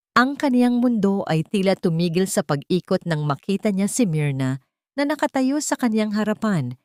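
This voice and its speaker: A woman's voice: fairly low pitch, no room sound, clean studio sound. A woman storyteller reading a scripted dramatic story as narration.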